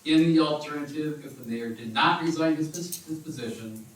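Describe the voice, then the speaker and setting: A man's voice: fairly high pitch, normal speed, slight background noise. A man giving a careful, formal account at a city council meeting, speaking in hedged, lawyerly phrases. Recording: echoey council chamber, distant mic.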